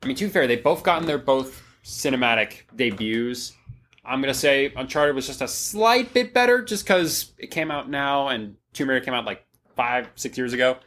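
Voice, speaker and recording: A man's voice: medium pitch, moderate pace, fairly bright tone. A man chatting informally as a podcast guest, blunt and slangy in the way he talks. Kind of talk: casual chat.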